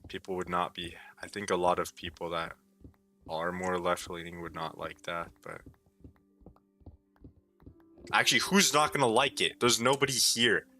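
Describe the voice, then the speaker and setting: A tired young man with a sore throat, rambling laid-back and low on a chill stream, with plenty of "like" fillers. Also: moderate pace, pushed and bright, soft background music. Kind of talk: casual rambling stream talk.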